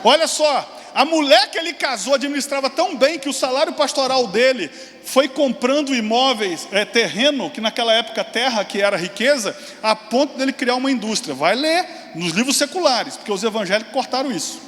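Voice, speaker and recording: A pastor in his forties preaches with a very high, lively voice that leaps up in excited exclamations and drops into quick asides. His voice rings with a long reverb through a large church hall, over a faint hum of room noise. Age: 40s. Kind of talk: sermon.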